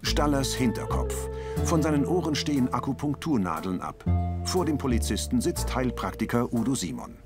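A man's voice: mid-range voice, sing-song, moderate pace, loud music behind the voice. A man reading terse scripted scene descriptions as an audio description narrator.

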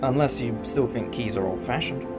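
A man's voice: medium pitch, moderate pace, loud music behind the voice, lightly acted. A man acting in a fan audio drama as a thoughtful, reassuring alien time traveller who explains things in a musing, conversational way. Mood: breezy, fond.

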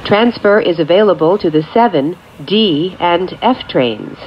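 A woman reading scripted automated subway announcements in measured, clearly separated phrases. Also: on the low side, animated. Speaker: transit announcer. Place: recorded off speakers inside a subway train. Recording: muffled capture with train noise. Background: train ambience.

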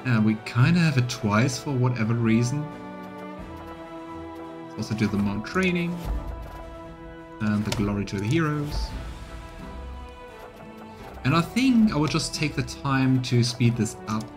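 A man's voice: mid-range voice, big pitch swings, unhurried, long pauses. A man in his thirties giving casual let's-play commentary and reading out game text. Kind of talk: voiced gameplay commentary and dialogue reading. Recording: game music behind the voice. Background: game music.

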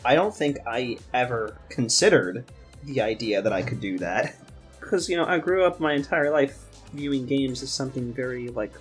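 A young man in casual podcast chat as a co-host, talking in a halting way and often rephrasing as he goes. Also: mid-range voice. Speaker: podcast co-host, game designer. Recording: music behind the voice. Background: background music.

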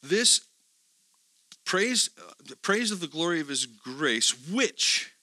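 A middle-aged man lectures a seminar group in a bright, forceful voice pitched a little high. He keeps putting questions to his listeners, sinks to murmured asides, then rises sharply for emphasis. The sound is dry and close. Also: takes it slowly, no room sound. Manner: animated, asks the audience questions, swings from quiet asides to loud emphasis. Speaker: theology teacher.

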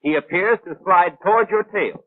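An actor playing a brisk, know-it-all gunnery instructor in a 1940s animated training film, precise, clipped and didactic. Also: male voice, sits high, dry recording, narrow, tinny audio. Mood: instructive. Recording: thin, band-limited 1940s film soundtrack.

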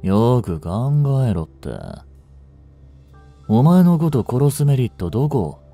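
A man playing a blunt, languid young delinquent and protective childhood friend, drawling gruffly and teasingly in an intimate audio drama. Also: medium pitch, sing-song, slow, warm tone, faint music behind. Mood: casual, teasing.

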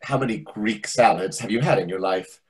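An actor playing a pompous, needling waiter with a husky voice, theatrical and condescending in a readers theatre comedy. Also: male voice, on the low side, normal speed. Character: pompous, needling, over-familiar Greek restaurant waiter. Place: indoors on a video call. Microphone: video call microphone.

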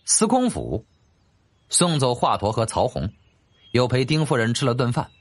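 A man reading a novel as scripted audiobook narration in an animated, dramatic way. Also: medium pitch, moderate pace.

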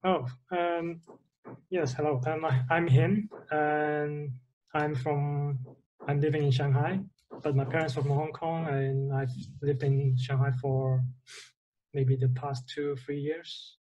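A man's voice: fairly high pitch, level tone, slow pace, a little mellow, dull audio, slight background noise. A young man from the Netherlands, a guest on a video call from a bedroom, talking hesitantly and searching for words. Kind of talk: casual interview. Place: bedroom, indoors.

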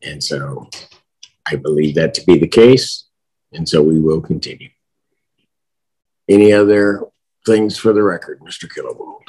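A middle-aged man with a husky voice, speaking as a judge giving formal rulings in a measured, authoritative manner. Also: rumbling low, darkish tone, rough recording. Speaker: judge presiding over a hearing. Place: courtroom bench, seen on video call.

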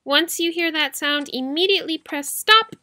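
A woman's voice: high voice, normal speed, pushed and bright. A young woman chatting casually and informally to viewers as she hosts a how-to video, in a giggly, rambling manner. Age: young adult. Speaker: YouTube host, cassette collector. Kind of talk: casual tutorial chat to viewers. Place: small bedroom, indoors.

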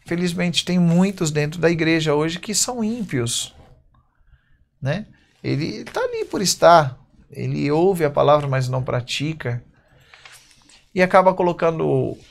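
Brazilian preacher man with gravelly voice. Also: a little high. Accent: Brazilian Portuguese. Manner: reads verses slowly, preaches in quick bursts. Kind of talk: sermon with scripture reading. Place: church stage at a wooden pulpit.

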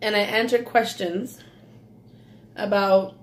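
A woman from Belize with a light Belizean accent chats slowly and unhurriedly as she eats on camera, pausing often for contented mm's. She sits at a table in a small room at home, recorded by a phone camera a short way off. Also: medium pitch, steady tone, slight background noise. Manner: slow, savoring, with frequent mm's while eating. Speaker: mukbang vlogger. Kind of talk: casual eating vlog.

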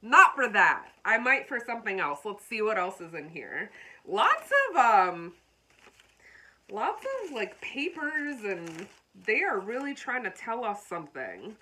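A woman's voice: medium pitch, big pitch swings, a little slow, bright, forceful tone, a little hiss or hum, rough recording. Bubbly congested woman vlogging. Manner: bubbly, talks straight to viewers. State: congested, slightly froggy. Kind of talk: chatty unboxing vlog.

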